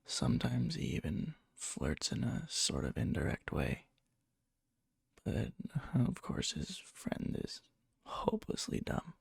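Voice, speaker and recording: A man playing a needy, lovesick, obsessive young friend in a soft, breathy ASMR roleplay, hesitant and coy. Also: very deep voice, wildly swooping pitch, slow, no room sound, clean studio sound, heavily acted. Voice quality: breathy, soft, fry-edged. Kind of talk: whispery ASMR roleplay, intimate storytelling.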